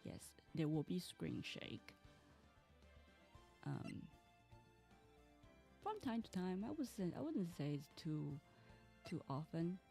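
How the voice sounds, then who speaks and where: Low gravelly woman streaming a game. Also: sing-song, takes it slowly, long pauses, mellow, faint music behind. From Taiwan. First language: Mandarin. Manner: chatty and rambling, often restarting sentences. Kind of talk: casual game stream chat.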